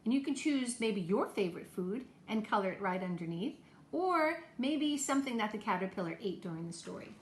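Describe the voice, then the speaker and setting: A woman's voice: mid-range voice, animated, brisk. Woman teacher addressing children, echoey room. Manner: encouraging, simple questions and explanations for children. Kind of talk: talking to young children in a homemade lesson video. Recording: echoey room, faint background noise.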